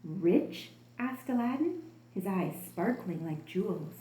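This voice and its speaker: A woman telling a children's story as an expressive storybook narrator. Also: a little high, unhurried. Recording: roomy, echoing room sound.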